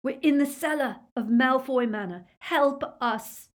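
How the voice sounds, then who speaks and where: A woman's voice: mid-range voice, lively intonation, fairly quick, darkish tone, breathy edge, pitched above the performer's own voice. A woman voicing a desperate, urgent teenage boy hero in an audiobook.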